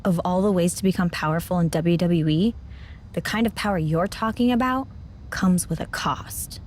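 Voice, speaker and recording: A woman playing a proud, guarded veteran wrestler in a video game cutscene, with a knowing, deliberate delivery. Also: fairly low pitch, darkish tone, slight background noise, lightly acted. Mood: warning.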